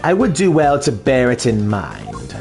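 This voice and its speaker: A man voicing a composed, formal male prosecutor who questions a suspect in precise, measured phrases. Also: medium pitch, huge pitch swings, gravelly, a little mellow, soft background music, voice pushed down, exaggerated voice. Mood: cold. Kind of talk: fan dub of a video game.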